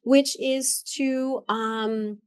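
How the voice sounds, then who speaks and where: A woman's voice: sits high, fairly even intonation, very slow, clear, resonant tone. A middle-aged woman from the Dominican Republic, a therapist guest telling her career story in a reflective way with many ums and restarts. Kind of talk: conversational interview.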